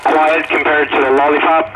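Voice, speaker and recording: A man's voice: a little high, level tone, normal speed. An American man talking casually as an operator over a CB radio transmission. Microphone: CB radio microphone. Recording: very noisy, static-laden CB radio transmission.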